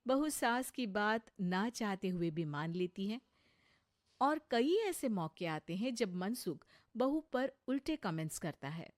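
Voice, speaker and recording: A woman's voice: mid-range voice, lively intonation, measured pace, no room sound, clean studio sound. A woman reading scripted narration for a comic moral story as its storyteller.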